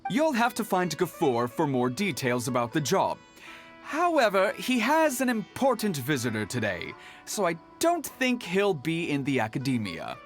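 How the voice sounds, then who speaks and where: A helpful, businesslike clerk, explaining at length in a fairly high, lively voice. Faint game music sits behind him. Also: male voice, moderate pace. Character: helpful, talkative male academy clerk.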